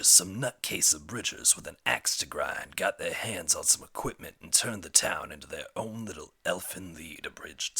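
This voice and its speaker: A man's voice: low voice, pushed and bright, slightly rough, full character voice. A man voicing a slick, talkative arms dealer who spins stories with casual amusement in a parody game dub.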